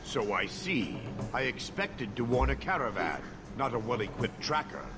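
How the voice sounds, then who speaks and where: An actor playing a stern Qunari outcast mercenary in a fantasy video game, speaking in terse, formal, measured phrases. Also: male voice, medium pitch, sing-song. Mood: guarded, stern. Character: stern horned Qunari outcast, a self-scorning male mercenary. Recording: game music behind the voice.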